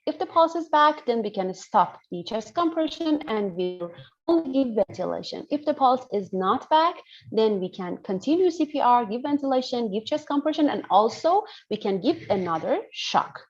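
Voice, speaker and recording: A woman's voice: on the high side, big pitch swings, normal speed. A woman with an Iranian accent teaching an interactive online medical class, explaining and often checking that listeners follow. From northern Iran. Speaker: lecturer, pediatrician. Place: indoors on a video call. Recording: muffled video call audio.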